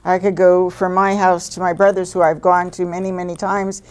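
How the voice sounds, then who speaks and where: A woman's voice: on the low side, little pitch movement, normal speed. A woman earnestly and enthusiastically giving a testimonial in an interview. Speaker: program participant.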